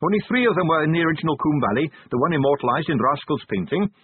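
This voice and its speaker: A man's voice: a little high, lively intonation, quick pace, husky, no echo, narrow, tinny audio, pitched above the performer's own voice. A man voicing an earnest, literal-minded young male captain in a storybook reading.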